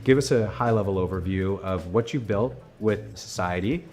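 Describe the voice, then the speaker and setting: Upbeat low-voiced male host. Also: normal speed, darkish tone, soft background music. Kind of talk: casual conversational interview.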